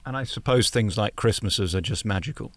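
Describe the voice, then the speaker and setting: A man hosting a conversational interview, effusive and flattering with a teasing streak. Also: on the low side, a little fast, no echo. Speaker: interviewer, radio host.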